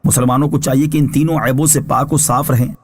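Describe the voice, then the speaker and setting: A man giving formal religious commentary as a scholar, reading prepared scripture translation and explanation in a measured, instructive way. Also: medium pitch, steady tone, a little mellow, breathy edge. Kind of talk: religious exegesis, read from a prepared text.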